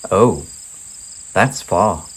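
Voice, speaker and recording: Woman voicing a slow, gentle big father hare. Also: a bass voice, expressive, husky, full character voice. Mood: tender, sleepy. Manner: slow, drawn-out, tender. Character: big grown male hare, gentle, fond parent. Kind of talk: children's storybook read-aloud.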